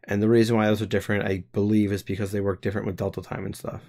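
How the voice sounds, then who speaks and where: A man in his twenties talks through his code on a live stream, working out the logic aloud. His voice sits a little low. He pauses and restarts often as he thinks. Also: little pitch movement, a little mellow. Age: 20s. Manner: hesitant, many pauses and restarts. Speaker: game developer streamer.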